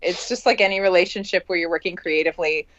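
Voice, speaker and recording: A woman's voice: lowish voice, fast talker, a bit bright, dry recording, lo-fi sound. A woman who plays in a band, talking informally and a bit hesitantly in a group interview. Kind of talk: casual group interview chat.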